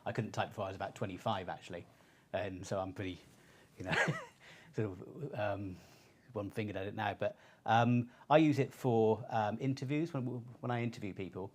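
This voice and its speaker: Middle-aged man, lowish halting voice. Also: darkish tone. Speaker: panelist presenting research. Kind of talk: informal webinar presentation.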